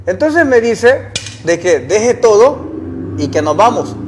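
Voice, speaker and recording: A man's voice: high voice, big pitch swings, slow, noisy background. A young man, earnestly recounting his experiences as an interview guest and posing rhetorical questions. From El Salvador. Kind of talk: casual interview storytelling.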